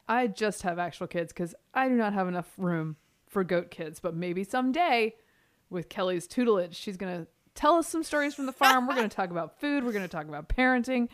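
A woman co-hosting a podcast in casual chat, a chatty, self-deprecating storyteller with a deeper register voice. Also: animated, brisk. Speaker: podcast co-host.

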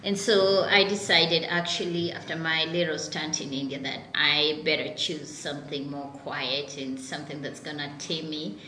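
Kenyan woman in her 40s, low bright voice, laughs a lot telling her story, echoey room with faint noise. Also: normal speed, few pauses. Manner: laughs often, animated storytelling. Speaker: founder and CEO guest. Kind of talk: casual interview.

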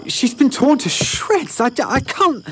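A man's voice: very high-pitched, sing-song, slow, a bit bright, a little hiss or hum, exaggerated voice. An actor playing an anxious, polite scientist in a naturalistic audio drama, hesitant in his speech. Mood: shocked, urgent. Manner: hesitant, stammering when alarmed. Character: anxious scientist, a husband, polite but rattled.

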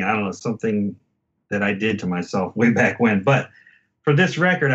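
A man's voice: on the low side, dull audio. A man in a casual album discussion as a podcast host, talking in a reflective, hesitant, conversational way.

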